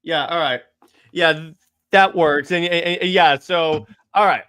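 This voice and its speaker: A man hosting a casual movie discussion, talking in an excitable, emphatic way. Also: on the high side, a little slow, clear, resonant tone. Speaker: podcast host.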